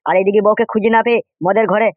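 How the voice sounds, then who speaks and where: A man's voice: high-pitched, little pitch movement, very fast, no echo, phone-quality sound, lightly acted. A man voicing a crude, fawning, chattering henchman loyal to a crooked boss in a comedy cartoon. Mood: earnest, fawning. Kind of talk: animated comedy cartoon dialogue.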